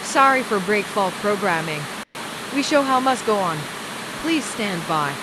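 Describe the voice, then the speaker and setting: A woman delivers a scripted emergency broadcast announcement in an ordinary mid-range female voice. The recording is very noisy, with a loud, steady hiss and rushing sound over her words. She speaks as the announcer of an interrupted programme. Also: medium pitch.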